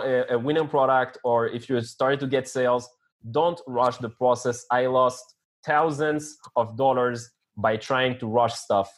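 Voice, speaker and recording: A man whose first language is French, a podcast guest giving earnest advice in casual interview talk, often pausing to search for words with hesitant fillers. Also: medium pitch. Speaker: podcast guest, online store founder.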